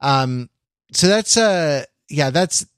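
A man co-hosting a podcast in casual discussion, with a halting, wry, self-interrupting way of talking. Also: a little high, lively intonation, no echo, studio quality. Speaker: podcast co-host. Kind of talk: casual discussion, summarizing and quoting an article.

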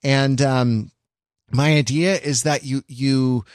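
A man's voice: mid-range voice, fairly even intonation, slow pace, no echo, clean studio sound. A man co-hosting a casual podcast discussion, rambling and often restarting his phrases mid-thought. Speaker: podcast co-host.

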